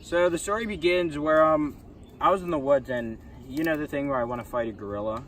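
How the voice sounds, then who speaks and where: A man's voice: fairly high pitch, fairly quick, a bit bright, lightly acted. A man playing a callous, self-important young guy in improvised comedic role-play, talking casually and bossily to his buddy. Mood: chummy. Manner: casual, bossy, needling. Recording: noisy hobby recording.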